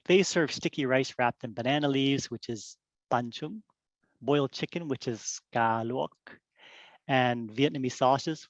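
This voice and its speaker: A man's voice: mid-range voice, no room sound, highs cut off. A middle-aged man from Korea giving a casual, friendly workplace presentation on a video call, with plenty of ums and uhs.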